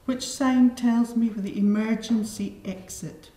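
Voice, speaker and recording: A woman's voice: fairly high pitch, fairly even intonation, measured pace, dark tone, echoey room. A middle-aged woman interviewing an adult language learner, asking short simple questions with patient, encouraging repetition. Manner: patient, encouraging, repeats and reassures. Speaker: adult education assessor. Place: small studio set, indoors.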